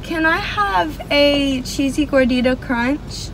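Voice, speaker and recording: A woman's voice: high-pitched, takes it slowly, poor audio. A young American woman chatting casually and dramatically to camera, like a vlogger telling a story. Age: young adult. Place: inside a car, partly driving. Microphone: camera mounted on dashboard. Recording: loud car and road noise.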